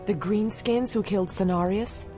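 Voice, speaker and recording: Casting: woman playing deferential young game elf scout, medium pitch, earnest, music behind. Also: normal speed, lightly acted. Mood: concerned. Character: respectful young female night elf sentinel. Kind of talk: video game fantasy acting.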